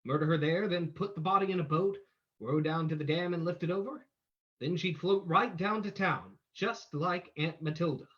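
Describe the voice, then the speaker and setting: A man acts out a commanding gentleman sleuth in old radio drama style. He lays out his plans with confidence. The audio is somewhat dull and lo-fi. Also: on the high side, moderate pace, close to an everyday voice. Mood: calm, plotting.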